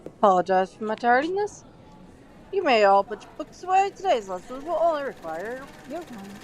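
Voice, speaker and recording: A woman's voice: on the high side, sing-song, moderate pace, lo-fi sound. A woman playing a courteous, encouraging male professor instructing a class in an audio drama. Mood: brisk. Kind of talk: fan audio drama acting.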